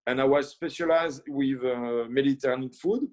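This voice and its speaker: A middle-aged man from France with a French accent, a chef talking about his career in a casual interview, full of fillers and restarts. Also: medium pitch. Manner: halting, many fillers and restarts. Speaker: chef and guest.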